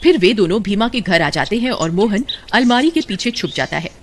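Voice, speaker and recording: A man's voice: sits high, big pitch swings, a little fast, slight background noise. A man narrating a scripted story as its storyteller. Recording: loud music under voice. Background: loud music.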